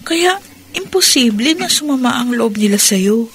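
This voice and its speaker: A woman's voice: on the high side, expressive, moderate pace, a bit bright, slight background noise. An actress playing a polite, concerned young village woman in a radio drama, with earnest questions.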